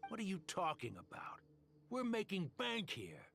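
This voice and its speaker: A slick, ruthless desert mercenary sells his schemes with swinging, lively intonation. He is cocky, slangy and money-hungry, a video game villain talking over music. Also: high-pitched, moderate pace, vocal fry, exaggerated voice. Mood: callous, brash. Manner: persuasive, cocky, casual slangy drawl of a salesman. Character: smooth-talking, ruthless desert mercenary arms dealer, greedy man.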